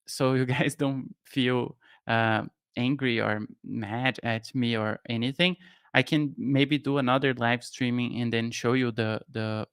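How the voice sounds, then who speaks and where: A man's voice: medium pitch, a bit bright, smooth, no room sound, studio quality. A young Brazilian man with a Brazilian Portuguese accent, casually talking through a no-code tutorial on a live stream, thinking aloud with plenty of fillers and restarts. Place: home studio room with shelves. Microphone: studio mic on boom arm, headphones.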